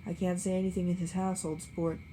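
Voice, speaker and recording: A woman's voice: on the low side, level tone, quick pace, dark tone, slight background noise, natural voice. A woman reading aloud who voices a stiff, formal wealthy man.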